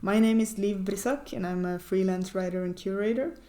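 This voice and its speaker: A woman hosting an interview, introducing the guest in a clear, measured way. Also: mid-range voice, steady tone, dark tone. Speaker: interviewer, host. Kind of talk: hosted conversation. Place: small farmhouse room, indoors.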